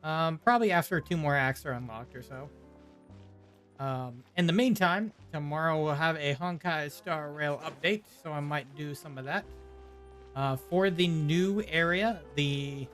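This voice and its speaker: A man's voice: a little high, measured pace, a bit bright, faint music behind. A man streaming a video game live, giving casual, informal commentary and reactions.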